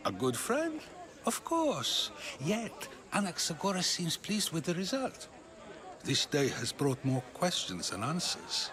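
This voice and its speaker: An actor playing a probing, articulate ancient Athenian philosopher in a video game, musing and questioning. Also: male voice, on the high side, wildly swooping pitch, measured pace, crowd noise. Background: game music.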